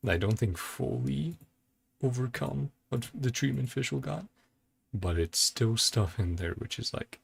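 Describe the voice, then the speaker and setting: A man's voice: medium pitch, gravelly, breathy edge. A man giving casual reaction commentary as a video host, thinking aloud in a laid-back way with music behind him.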